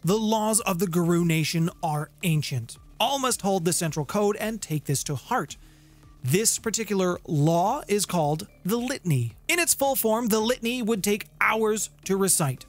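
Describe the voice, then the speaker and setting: A man's voice: high voice, animated, normal speed. A man in his thirties presenting an explainer to camera in an emphatic way, stretching key words for weight, on a close studio microphone in a home room. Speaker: host of a tabletop game lore channel. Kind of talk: explainer to camera, semi-scripted narration. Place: small home music room, indoors. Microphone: close studio condenser microphone with shield. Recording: music playing under the voice. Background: background music.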